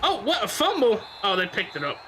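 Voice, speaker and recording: A young man with a high-pitched voice giving casual commentary while he plays a football video game, reacting loudly to plays. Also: wildly swooping pitch, a little fast, noisy background. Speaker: gamer host.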